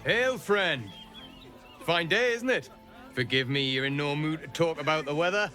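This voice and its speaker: A man's voice: on the high side, lively intonation, moderate pace, sharp tone. A folksy, chatty townsman. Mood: friendly. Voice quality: folksy and affable. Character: friendly, chatty male townsman. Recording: game dialogue with music.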